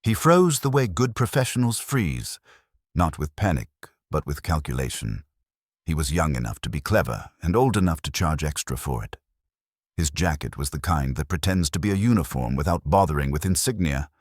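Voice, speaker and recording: A man reading a first-person detective story as narrator, in a dry, measured noir manner. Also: very deep voice, big pitch swings, no room sound.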